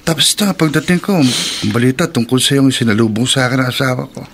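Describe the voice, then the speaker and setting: An actor playing a concerned, sympathetic male friend in a Filipino radio drama, conversational and halting, with a Filipino accent. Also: mid-range voice, fairly bright tone, a little hiss or hum, lightly acted.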